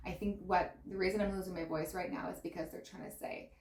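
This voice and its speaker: Excitable woman with a fading voice. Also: fairly low pitch, fast talker, roomy sound. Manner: excitable and gushing, exclaiming and laughing. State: losing her voice. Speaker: tarot reader. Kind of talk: pick-a-pile tarot reading.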